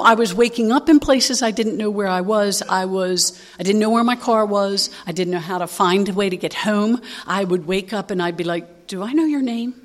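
A woman in her sixties giving a candid, rambling personal testimony at a recovery meeting, with frequent fillers and restarts. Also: mid-range voice. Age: 60s.

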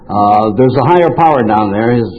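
An older man who grew up speaking French speaks in a fairly low voice through a thin, phone-like recording. He tells his own story to a group informally, circling back and interrupting himself as he goes. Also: expressive, fairly quick, slight background noise. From New York, United States. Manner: rambling, self-interrupting storytelling. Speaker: recovery meeting speaker. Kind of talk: informal personal testimony to a group. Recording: tinny band-limited radio-like sound.

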